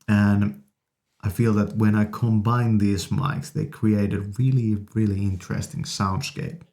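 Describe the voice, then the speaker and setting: Male gear-review host: low, soft-edged dark voice, casual and enthusiastic, speaking in halting phrases into a studio condenser. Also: level tone, vocal fry. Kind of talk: casual product demonstration. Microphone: studio condenser microphones.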